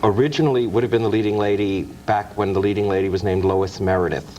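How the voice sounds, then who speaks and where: A man's voice: on the low side, steady tone, quick pace, a little mellow, highs cut off, slight background noise. A man speaking as an author guest, telling anecdotes in an explanatory, storytelling way. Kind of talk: anecdotal film-history interview.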